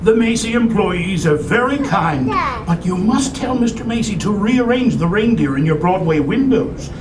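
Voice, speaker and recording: A man playing a gentle, courteous old Santa in a theatrical puppet show. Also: lively intonation, normal speed. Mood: kindly. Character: kindly, courteous old Santa, cheerful and particular. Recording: echoey, distant recording with rumbling noise.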